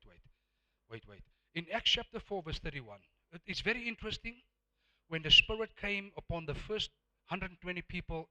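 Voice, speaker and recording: South african guy preaching, afrikaans first language, really lively pitch swings, bright punchy voice, goes from hushed to loud. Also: poor audio. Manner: emphatic, repeats words and phrases for effect, swinging from hushed to loud. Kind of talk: sermon.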